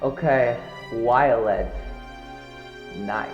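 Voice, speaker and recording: A man's voice: mid-range voice, drawn-out words, breathy edge, soft background music. A man voicing a laid-back male cartoon villain in an improvised comedic fan dub. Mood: deadpan. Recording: echoey, muffled fan dub playback.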